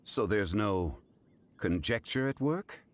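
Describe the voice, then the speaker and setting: Zhongli, a deep, formal scholar. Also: male voice, animated, slight background noise. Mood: composed. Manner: measured, formal, deliberate reasoning. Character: calm, learned, dignified man of old-fashioned formality. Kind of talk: video game voice acting.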